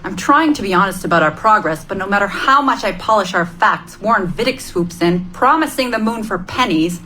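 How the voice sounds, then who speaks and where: Earnest research scientist, wry and driven, medium pitch, light ambient noise, game dialogue. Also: female voice, lively intonation, a little fast, bright, forceful tone, poor audio. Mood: exasperated, earnest. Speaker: researcher and test subject.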